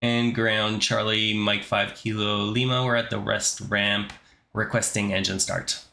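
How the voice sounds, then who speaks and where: A man's voice: fairly low pitch, level tone, unhurried, gravelly, pushed and bright. A man narrating an explanatory tutorial as an instructor, explaining things step by step. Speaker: flight simulator instructor.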